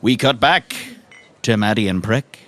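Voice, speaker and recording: A man's voice: lowish voice, a little slow, fairly bright tone. A man narrating an improvised tabletop roleplaying game as game master.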